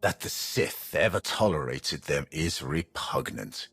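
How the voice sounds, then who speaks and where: A man's voice: very deep voice, lively intonation, measured pace, creaky, a bit bright, husky, no echo, exaggerated voice. A male actor playing a grim, ancient, contemptuous Sith warrior in a video game, speaking formally and with menace. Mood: scornful. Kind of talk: dramatic video game voice acting.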